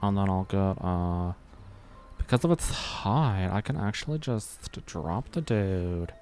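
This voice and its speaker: A man's voice: sits low, expressive, slow, a little mellow, soft background music. An American man streaming a building game, muttering his moves to himself, humming and joking along. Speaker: gaming streamer. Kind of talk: live game commentary.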